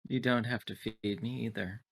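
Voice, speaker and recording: A player puts on a synthetic android for a tabletop game. The speech is formal and clinical, taking everything literally with detached curiosity. The pitch sits in an ordinary middle range. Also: fairly even intonation, normal speed, creaky, a bit bright. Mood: puzzled, literal. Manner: formal, stilted, clinical wording. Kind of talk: tabletop roleplay, in character.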